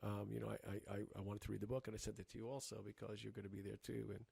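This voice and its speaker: A man co-hosting a casual podcast chat, talking in halting bursts with many restarts and fillers. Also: lowish voice, level tone, vocal fry, dark tone, husky, no echo. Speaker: podcast co-host.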